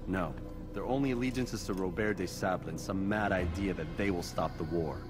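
A man's voice: deep voice, fairly quick, natural voice. A man playing a stoic, determined young assassin in a video game, serious and restrained. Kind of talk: dramatic video game cutscene acting. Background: music.

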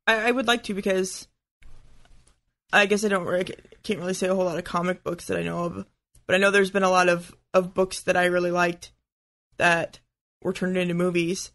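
A man chatting casually as a podcast co-host, in a halting way that repeats words. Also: high-pitched, little pitch movement, fairly bright tone.

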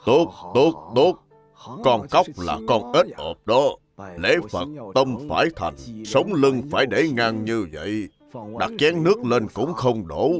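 Casting: humble old monk for a cartoon , played by a man, lively intonation, patient plain-spoken teaching, music underneath. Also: mid-range voice, slow pace. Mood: instructive. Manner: didactic, plain, repeats instructions simply. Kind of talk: dubbed animated religious biography.

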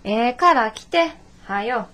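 An actress playing a brisk, nagging daughter in her twenties, blunt and scolding with a Kansai accent, in a radio drama. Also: a little high, expressive, bright, forceful tone, slight background noise, heavily acted. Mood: impatient. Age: 20s. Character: brisk, nagging 24-year-old daughter of a shopkeeper.

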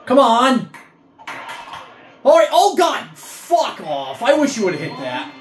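Young male gamer, very animated pitch, cursing and shouting, roomy echo. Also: takes it slowly. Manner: frustrated outbursts, cursing and yelling at the game. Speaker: gaming video creator. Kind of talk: casual gameplay commentary. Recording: roomy echo with faint game music.

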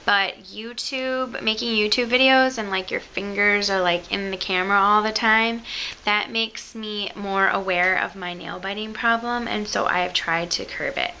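A woman's voice: medium pitch, steady tone, moderate pace, sharp tone, highs cut off, slight background noise. A young woman with a Michigan accent, rambling and joking about herself as she talks to the camera in her bedroom. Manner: chatty, self-mocking, rambling asides. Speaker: beauty vlogger. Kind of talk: casual chat to camera. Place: bedroom at home, indoors. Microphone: camera close to the face.

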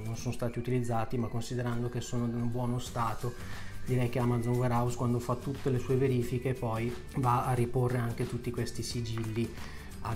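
A man with an Italian accent casually narrating a tech unboxing, talking straight to his viewers with lots of fillers. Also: mid-range voice. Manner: chatty, addresses viewers directly, frequent fillers. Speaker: tech youtuber.

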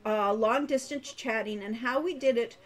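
American woman, higher voice, halting and rambling. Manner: halting, rambling, thinking aloud. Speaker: game designer and co-writer, livestream host. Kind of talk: casual livestream chat. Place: home room. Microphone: webcam-style setup, no headset, at desk distance.

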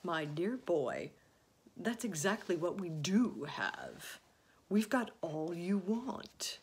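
Casting: woman doing a crisp old gentleman grasshopper for a storybook, low and measured. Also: big pitch swings, slight background noise, heavily acted. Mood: brisk. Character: wise, sharp-tongued old male grasshopper.

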